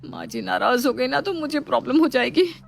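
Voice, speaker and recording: A nervous young daughter-in-law, as acted by a woman for a moral tale. The voice is very high-pitched, flustered and stammering, anxious to oblige. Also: expressive, a little fast, creaky, full character voice. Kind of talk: Hindi moral storybook drama.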